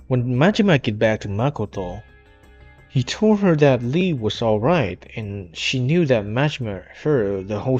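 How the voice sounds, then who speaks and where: A man's voice: on the low side, a little mellow. A man reading a scripted retrospective of a game story, in English with non-native grammar. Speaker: video essay narrator. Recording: dull lo-fi mic, music behind.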